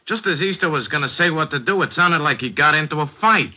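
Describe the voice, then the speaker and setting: A man's voice: a little high, animated, fast talker. An actor playing a wary henchman who follows the boss's orders, talking in terse tough-guy style in an old-time radio crime drama. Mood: alarmed. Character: wary male hoodlum, obedient henchman to a crime boss. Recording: muffled vintage radio recording.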